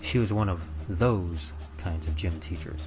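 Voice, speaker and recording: A man's voice: deep voice, a little slow. A man narrating a story with a wry, dramatic old-time radio delivery. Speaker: story narrator, podcast presenter. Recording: dull lo-fi audio. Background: faint music.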